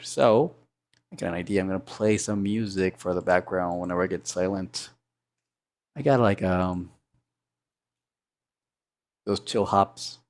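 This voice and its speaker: A man with a low baritone voice and a sore throat, chatting casually and humming to himself while he animates on a live stream. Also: gravelly, a little mellow. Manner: rambling, thinks aloud, hums and mutters sound effects.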